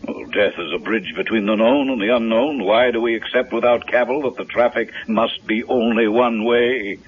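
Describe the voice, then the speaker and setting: A man's voice: medium pitch, normal speed, natural voice. An actor playing a grieving, obsessive middle-aged father with formal, ornate phrasing in a 1970s radio drama. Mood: brooding. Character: grieving, obsessive widowed father, a reclusive doctor. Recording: dull lo-fi vintage radio recording.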